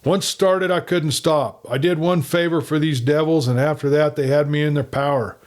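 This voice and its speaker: A man voicing a nervous, sly frontier agent in a western story, with an edgy, excitable manner. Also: a little high, normal speed, voice pushed up, lightly acted. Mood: agitated relief.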